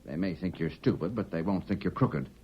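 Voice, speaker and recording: An actor playing a cocky, domineering swindler in an old radio western, curt and sure of himself. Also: male voice, sits low, brisk, husky. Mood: smug. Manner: curt, confident, bossy. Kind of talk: 1940s radio western acting. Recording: dull old radio recording.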